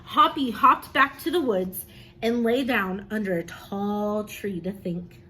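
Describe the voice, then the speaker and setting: Slow-paced woman reading to children. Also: medium pitch, sharp tone, a little hiss or hum, rough recording. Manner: encouraging, pausing to ask and praise as a teacher does. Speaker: teacher reading aloud to children. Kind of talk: expressive picture-book read-aloud with questions to young listeners.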